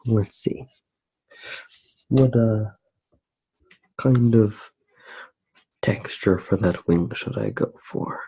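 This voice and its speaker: Man, ASMR drawing demonstration, dark mellow tone, creaky fry, soft-spoken, hesitant, musing. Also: on the low side, very slow, pauses often, dry recording, low-quality recording. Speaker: ASMR artist.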